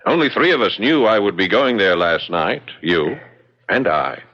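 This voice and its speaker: Colonial gentleman detective, low voice, calm, measured, logical, dull vintage radio sound. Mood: reasoning. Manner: measured, explanatory, unruffled. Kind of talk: old-time radio drama acting.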